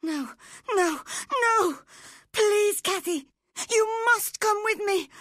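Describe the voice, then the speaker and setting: A woman's voice: very high voice, animated, slow pace, fairly bright tone, no room sound, studio quality, voice pushed up, heavily acted. A woman voicing a frightened, sickly teenage boy in an audiobook story, sobbing and pleading. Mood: hysterical terror. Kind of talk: dramatised audiobook reading.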